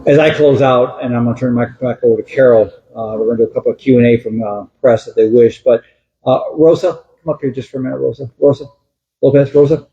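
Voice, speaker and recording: A man's voice: mid-range voice, fast, mellow, muffled recording. A man speaking as sheriff at a building dedication, folksy and thankful. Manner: folksy, self-deprecating, thankful. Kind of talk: public speech at a ceremony.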